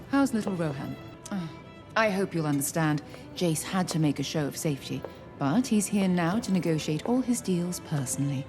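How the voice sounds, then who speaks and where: An actress playing a poised, ambitious noblewoman councillor in an animated fantasy drama, speaking in a measured, formal, silky and calculating way. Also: low voice. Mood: smooth, confident. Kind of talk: animated drama series acting. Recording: clips with music behind the voice.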